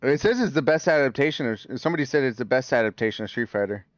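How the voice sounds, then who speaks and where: A man's voice: medium pitch, animated, rapid-fire, dry recording, muffled recording. A man taking part in a casual podcast chat, easygoing and enthusiastic. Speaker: podcast guest.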